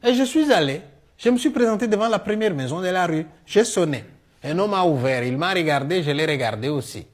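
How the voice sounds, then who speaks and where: A middle-aged man with a Congolese French accent tells comic stories in a one-man show, acting out dialogue in an animated way. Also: high-pitched, fairly quick. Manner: animated storyteller, acting out dialogue, punctuating with "hein". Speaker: stage storyteller and comedian.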